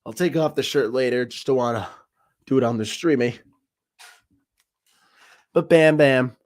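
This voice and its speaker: A man's voice: medium pitch, measured pace, darkish tone, dry recording. A man in his thirties from Peru, hosting a live-stream sports talk show with hyped, trash-talking banter. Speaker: podcast host.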